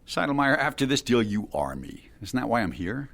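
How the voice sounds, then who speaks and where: An actor playing a cool, hard-nosed younger tech dealmaker in an audio drama, blunt, controlled and condescending. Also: male voice, medium pitch, sing-song, moderate pace, vocal fry, fairly bright tone. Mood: cool, insistent.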